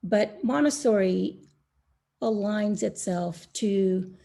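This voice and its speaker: A middle-aged woman from India speaking as a panelist on an online call, slow and thoughtful with many pauses and fillers. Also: medium pitch, gravelly, warm tone. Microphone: video call.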